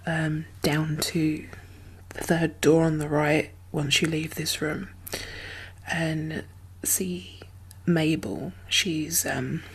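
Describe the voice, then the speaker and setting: A woman speaking softly and often whispering in an ASMR roleplay, gentle and halting, as a guarded but caring apocalypse survivor. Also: sits low, level tone, poor audio. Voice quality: soft, breathy, often whispered. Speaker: ASMR performer playing a role. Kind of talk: soft-spoken ASMR roleplay.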